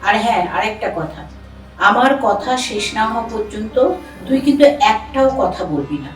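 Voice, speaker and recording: A woman playing a troubled, protective elder sister in an audio drama, with emotional, expressive delivery. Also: mid-range voice, normal speed, close to an everyday voice. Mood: troubled, resolute. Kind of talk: Bengali audio drama acting. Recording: echoey room, distant mic, music bed.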